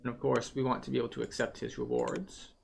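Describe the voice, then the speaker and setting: A game tour guide giving casual walkthrough commentary, explaining things in a matter-of-fact, unhurried way. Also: mid-range voice, level tone, creaky, a little mellow, a little hiss or hum.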